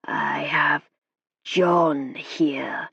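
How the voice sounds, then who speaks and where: A woman puts on a man's spirit voice, channeled by a medium at a storybook séance. It growls low with a foreign edge, slow and grave, husky and close on a dry, somewhat muffled recording. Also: fairly even intonation, a bit bright, no room sound, exaggerated voice. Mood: solemn. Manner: slow, solemn pronouncements.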